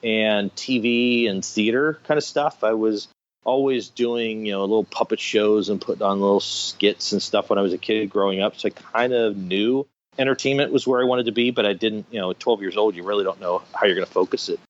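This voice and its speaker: A man's voice: lowish voice, fairly even intonation, brisk. A man in his fifties with an American accent, telling stories casually and with self-deprecating humor as a podcast guest. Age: 50s. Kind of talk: casual interview storytelling. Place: remote online call. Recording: dull lo-fi internet call.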